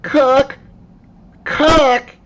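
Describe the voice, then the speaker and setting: A man's voice: very high-pitched, fairly even intonation, drawn-out words, highs cut off, pitched above the performer's own voice, exaggerated voice. A man voicing a bratty, jealous, bossy little princess in a storybook read-aloud, demanding and imperious. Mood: bossy, impatient.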